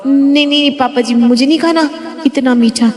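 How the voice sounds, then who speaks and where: A woman's voice: high voice, a little fast, darkish tone, natural voice. A woman playing a health-conscious, insistent young daughter-in-law in a family story drama. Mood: insistent, worried. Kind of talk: hammy family story dialogue. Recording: reverberant, hall-like echo.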